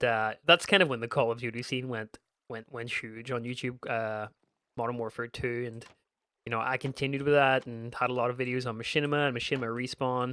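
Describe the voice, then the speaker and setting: A man in his thirties with a Northern Irish accent touched by a faint American twang, talking over gameplay in a loose, reminiscent way that circles back on itself. His voice sits at an ordinary middle pitch. It is recorded close and dry, with no room sound. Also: a bit bright, no room sound. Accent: Northern Irish with a slight American twang. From Northern Ireland. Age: 30s. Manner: meandering and reminiscent, frequent restarts. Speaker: gaming YouTuber. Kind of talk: casual gameplay commentary.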